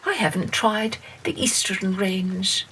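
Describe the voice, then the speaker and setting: A woman's voice: medium pitch, animated, takes it slowly, bright, forceful tone, slight background noise, low-quality recording. A woman voicing a weary, irritable but determined female rain spirit with an exasperated, firm manner in a children's storybook.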